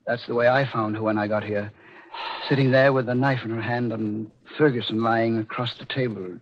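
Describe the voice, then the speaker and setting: A man acts out a resigned, solemn doctor from a vintage radio mystery. He speaks deliberately at a middling pitch. The sound is dull and old. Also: steady tone, close to an everyday voice. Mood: grave, resigned. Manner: somber, deliberate. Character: grave, resigned male doctor and guardian. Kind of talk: 1940s radio mystery acting. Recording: muffled, noisy old radio recording.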